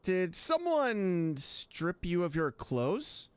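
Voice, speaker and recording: A woman's voice: fairly low pitch, big pitch swings, very slow, smooth. A woman streaming a game with casual commentary, reacting with joking scepticism. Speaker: streamer playing a story game.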